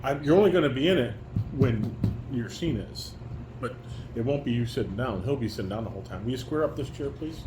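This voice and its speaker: A man's voice: mid-range voice, expressive, brisk. A man talking to a child as a father, giving instructions and asking questions in a firm, correcting manner. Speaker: father leading a family table read. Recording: echoey room, background noise.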